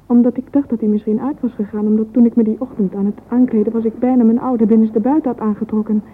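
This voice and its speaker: An actress playing a dreamy, vain young woman in a radio drama monologue, intimate, sentimental and often breathy. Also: fairly high pitch, steady tone, fast talker, natural voice. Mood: fretful. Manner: intimate, wistful, often breathy and half-whispered. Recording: narrow phone-like old radio recording, faint hiss.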